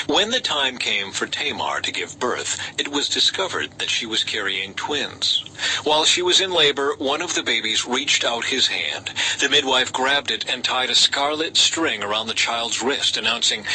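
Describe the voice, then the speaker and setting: A man narrates scripture in a sharp, very bright voice of medium pitch. His delivery is scripted and measured, like an audiobook, with quoted speech lightly acted. There is faint background noise in the recording. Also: low-quality recording. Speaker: audiobook-style scripture reader. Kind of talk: scripted narration of a Bible story.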